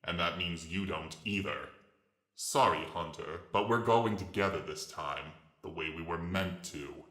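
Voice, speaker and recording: Very deep, mocking reptilian villain. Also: male voice, a little slow, a bit bright. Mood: sardonic, resigned. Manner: mocking, drawling, grandiose. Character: massive reptilian villain, mockingly courteous, grandiose space pirate commander. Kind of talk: dramatic reading of a sci-fi story. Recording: echoing, reverberant voice track.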